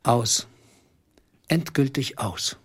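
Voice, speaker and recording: An actor playing a middle-aged, bitter clerk who speaks in a deliberate, accusing, sarcastic way in a radio drama. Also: male voice, mid-range voice, expressive, fairly bright tone, slightly rough, heavily acted. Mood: cold menace. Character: bitter, calculating small clerk confronting his treacherous wife.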